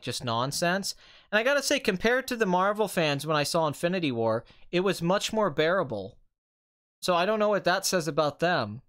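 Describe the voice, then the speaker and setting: Clear-voiced man chatting casually, voice tiring. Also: fairly high pitch, a bit bright. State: voice tiring and strained after two hours of talking. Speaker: live stream host, film commentator. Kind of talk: casual rambling live-stream chat about movies.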